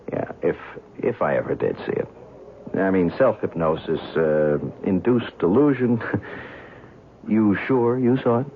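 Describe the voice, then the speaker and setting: An actor playing a young, skeptical newspaper writer, chatty and curious, in an old-time radio drama. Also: male voice, lowish voice, expressive, takes it slowly, long pauses. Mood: curious, flustered. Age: young adult. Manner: hesitant, stammering in dialogue. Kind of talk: old-time radio drama acting, first-person narration and dialogue. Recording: tinny, band-limited old radio recording, faint noise.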